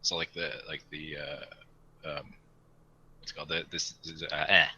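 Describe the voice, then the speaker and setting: A man's voice: very deep voice, flat delivery, unhurried, bright, forceful tone, slight background noise. A young man chatting casually and in a rambling way as a podcast host. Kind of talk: casual chat.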